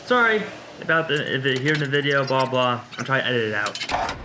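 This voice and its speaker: A man's voice: mid-range voice, very animated, brisk, heavy background noise. A man narrating casually while he takes apart a wrecked car, rambling and correcting himself, with a congested voice. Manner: rambling, informal, self-correcting as he works. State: congested after being sick. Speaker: vlogger working on his race car.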